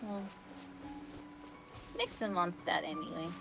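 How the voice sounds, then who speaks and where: A woman's voice: on the low side, slow pace, gravelly. A young woman streamer chatting casually while playing, rambling and correcting herself as she goes. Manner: rambling, trails off, self-correcting. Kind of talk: casual gameplay commentary. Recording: game music loud behind.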